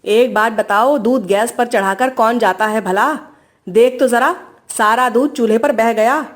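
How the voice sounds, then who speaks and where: A woman's voice: fairly high pitch, measured pace, pitched below the performer's own voice, lightly acted. A woman playing a bossy, cantankerous older mother-in-law who scolds and grumbles in a family story. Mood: scolding.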